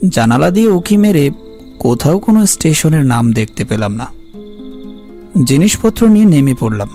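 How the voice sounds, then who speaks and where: A man playing a polite, uneasy traveller who narrates an eerie animated ghost story in the first person. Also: on the high side, moderate pace. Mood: puzzled, uneasy. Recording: faint music behind the voice.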